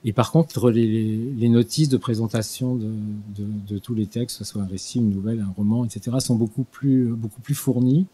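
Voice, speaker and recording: Older man, dark husky voice, halting. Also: mid-range voice, steady tone. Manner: hesitant, many restarts and fillers. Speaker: guest lecturer. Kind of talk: unscripted talk. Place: small studio with dark purple backdrop. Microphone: desk mic on stand.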